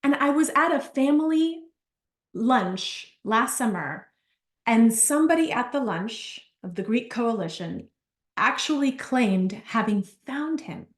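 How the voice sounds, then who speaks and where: Animated chatty woman in an echoey room. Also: fairly high pitch, takes it slowly. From Argentina. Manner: animated, chatty, storytelling with asides. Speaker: business coach. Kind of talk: live workshop talk.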